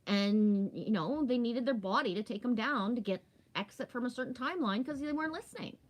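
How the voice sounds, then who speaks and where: A woman's voice: on the high side, lively intonation, a little fast. A woman of about fifty chatting casually as a livestream host, rambling and emphatic, candid, often tagging her points with a questioning check. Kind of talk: casual spiritual livestream chat.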